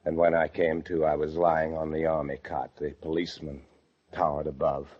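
An actor playing a paranoid fugitive husband, narrating an old-time radio thriller with intense dread. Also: male voice, rumbling low, little pitch movement, moderate pace, breathy edge. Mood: grim. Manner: intense, breathless dread, swinging from brooding to frantic shouts. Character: desperate, paranoid fugitive husband confined in a locked room. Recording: dull old radio recording with music.

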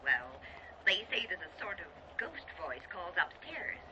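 Bright, cryptic switchboard operator. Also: lowish voice, big pitch swings, unhurried, heavily acted. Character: female telephone operator, businesslike yet cryptic. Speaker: telephone operator. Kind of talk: radio play acting. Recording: dull lo-fi radio recording, background hiss.

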